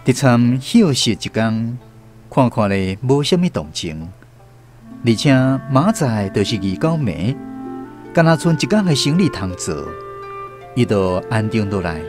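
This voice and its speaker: A man's voice: mid-range voice, sing-song, a little slow, a little mellow. A man with a Taiwanese accent narrating a literary radio drama from a script. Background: plucked guitar music.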